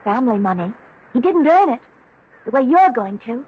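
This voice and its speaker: An American actress playing a pampered young heiress in a 1970s radio drama, coaxing, playful and determined. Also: female voice, on the high side, big pitch swings, normal speed. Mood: affectionate, persuasive. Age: young adult. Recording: dull, noisy vintage radio recording.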